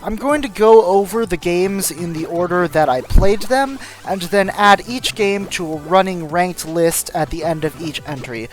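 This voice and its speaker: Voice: medium pitch, unhurried, hardly any pauses, soft background music. A narrator reading a scripted video game review as the host, with dry, self-deprecating humour.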